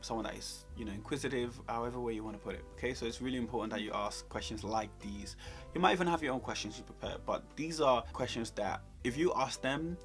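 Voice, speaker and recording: Guy coaching on job interviews, emphatic, repeats himself to make the point, average pitch, music around. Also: quick pace, a bit bright. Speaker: co-host giving job interview advice. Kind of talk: conversational career coaching.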